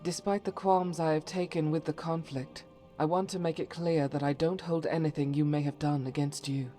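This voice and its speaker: A woman's voice: deep voice, steady tone, normal speed, dark tone, close to an everyday voice. Woman acting as a solemn, devout fantasy warrior woman, music behind. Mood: solemn, regretful. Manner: formal, archaic, earnest phrasing. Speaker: voice actor for a game companion. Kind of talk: dramatic scripted fantasy game dialogue.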